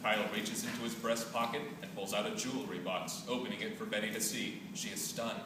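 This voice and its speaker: A man's voice: fairly low pitch, fairly even intonation, fairly quick. A man reading out stage directions as scripted narration at a live play reading in a hall. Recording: distant echoey hall, noisy.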